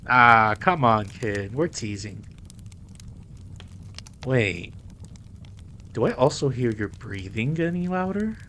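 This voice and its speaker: A man's voice: mid-range voice, expressive, takes it slowly, pauses often, bright, forceful tone. Mafia boss, acted by a man, mid-range voice, commanding and teasing, slips into Spanish. Audio drama, noisy track. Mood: teasing, dominant. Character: dominant, teasing male mafia boss, indignant yet protective. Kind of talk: roleplay audio drama acting.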